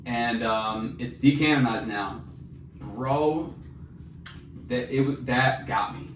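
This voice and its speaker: A man's voice: mid-range voice, slow pace. A man co-hosting a podcast, chatting casually about books in an enthusiastic, slangy, emphatic way. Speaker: podcast co-host. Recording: roomy echo, distant mic, faint noise.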